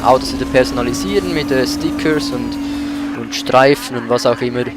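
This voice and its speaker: Man commentating a game over loud music. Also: mid-range voice, brisk. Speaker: let's play gamer. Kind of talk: casual gameplay commentary. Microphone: voice-over mic over game audio. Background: racing game car sounds and music.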